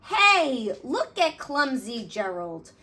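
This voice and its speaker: A woman's voice: sits high, sing-song, slow, bright, forceful tone, exaggerated voice. A woman voicing mocking, sneering jungle animals in a children's storybook. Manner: sneering, taunting.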